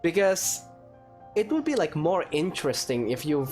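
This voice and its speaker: A man's voice: fairly high pitch, big pitch swings, normal speed, darkish tone, faint music behind. A man giving casual playthrough commentary and review, informal and hesitant, often rephrasing as he goes. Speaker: gaming video creator.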